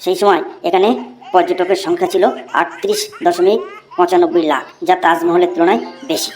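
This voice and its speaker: A woman's voice: on the low side, little pitch movement, fairly quick, darkish tone, a little hiss or hum, natural voice. A woman voicing a cheeky schoolboy who recites a long essay by rote in a cartoon. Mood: recitation. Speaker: narrator and channel host. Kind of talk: cartoon voice acting.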